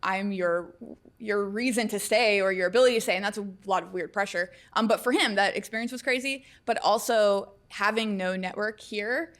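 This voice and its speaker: A woman's voice: mid-range voice, normal speed, hardly any pauses, fairly bright tone. A woman with a mid-range voice who co-hosts a casual podcast. She reflects aloud on personal experience and steers the conversation with follow-up questions. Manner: conversational, reflective, guides the discussion. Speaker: podcast co-host.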